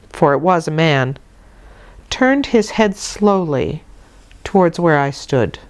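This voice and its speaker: A woman reading literary fiction aloud as an audiobook narrator, in a measured, expressive storytelling manner. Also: lowish voice.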